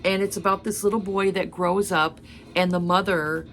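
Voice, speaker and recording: A woman in her thirties, hoarse from a cold, chatting casually about books with many fillers. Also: fairly low pitch, steady tone, normal speed, fairly bright tone, slight background noise. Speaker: book vlogger. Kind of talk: casual chat.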